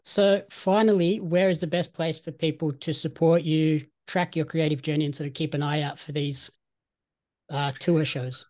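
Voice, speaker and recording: An interviewer puts questions to a guest in a friendly, encouraging manner. The voice sits at a middle pitch and keeps an even, conversational pace. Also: normal speed.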